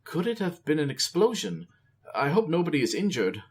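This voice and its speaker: A man's voice: fairly high pitch, big pitch swings, fairly quick, breathy edge, pitched above the performer's own voice. A man voicing an earnest young male king who searches for his words. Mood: worried.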